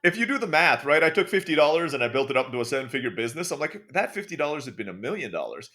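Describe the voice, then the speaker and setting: Fast, bright-voiced American-accented man. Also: fairly high pitch, big pitch swings. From Turkey. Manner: quick, jokey storyteller. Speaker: guest, online school founder. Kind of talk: casual podcast conversation.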